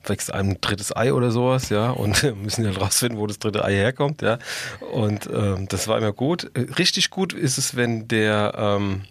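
A man's voice: on the low side, moderate pace, few pauses, no room sound. A man speaking casually as a podcast co-host, in a colloquial and blunt manner. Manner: colloquial and blunt, with mild swearing. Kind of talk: casual chat.

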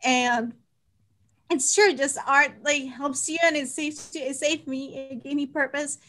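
Woman in her 20s, highish voice, heartfelt casual talk on a video call. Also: fairly even intonation, a little slow, fairly bright tone. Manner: earnest, heartfelt. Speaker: amateur stage actor. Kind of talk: personal, casual panel talk. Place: indoor room on a video call.

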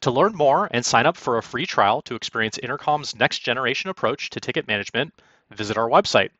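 A man presenting a software walkthrough as polished, instructional scripted narration. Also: medium pitch, expressive, fast talker, dry recording, lo-fi sound. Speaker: product demo presenter. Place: quiet, dry room, recorded as voice-over.

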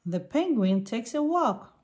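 A woman reading a picture book aloud for young children in deliberate, simple sentences. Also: fairly high pitch, very animated, darkish tone.

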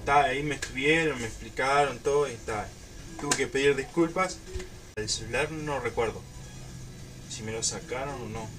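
A young man with a Uruguayan accent, telling a story casually to camera in an informal, halting way. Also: mid-range voice, distant mic, music in the background. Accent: Uruguayan Spanish. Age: young adult. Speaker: YouTube storyteller. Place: small room at home.

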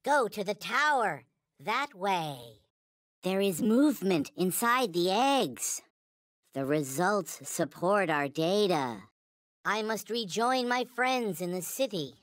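A small toy alien from a video game, speaks in a high voice with very slow, deliberate delivery. The phrasing is stilted and formal, childlike in its earnest, worshipful devotion. The sound is close and dry. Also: male voice, very animated, a bit bright, dry recording, full character voice. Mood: earnest, solemn. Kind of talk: video game character voice lines.

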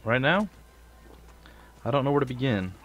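A man's voice: mid-range voice, big pitch swings, moderate pace, a bit bright. A man with a stuffy, sniffly nose hosting a casual game let's play, rambling with joking asides. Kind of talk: casual gameplay commentary.

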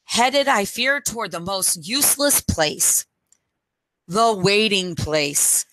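Bright-voiced woman reading a storybook slowly. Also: medium pitch, lively intonation, slight background noise, rough recording. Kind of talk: read-aloud picture book storytime. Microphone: headset microphone.

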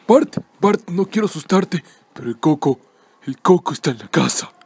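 A man's voice: a little high, animated, moderate pace. A man voicing an anxious, urgent cartoon character in an amateur comic dub, on a noisy recording.

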